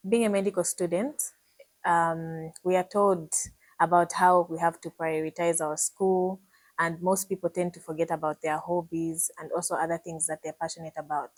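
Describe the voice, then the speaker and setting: A woman's voice: fairly low pitch, no echo, rough recording. A twenty-one-year-old woman with a Zambian accent, a medical student in a pageant who introduces herself in a composed, articulate way. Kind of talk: prepared self-introduction to camera.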